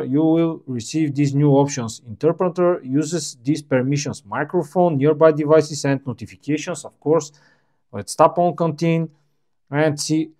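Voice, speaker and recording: A man whose first language is Bulgarian, casually walking through phone features with plain, slightly halting phrasing. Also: fairly high pitch, mellow. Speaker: tech reviewer. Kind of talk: casual screen walkthrough.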